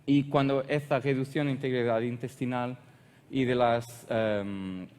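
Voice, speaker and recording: Portuguese-accented male presenter, halting non-native delivery, echoing hall sound. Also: mid-range voice, steady tone, darkish tone, clear, resonant tone. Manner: halting, searches for words and restarts often. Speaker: conference speaker. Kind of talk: technical conference presentation.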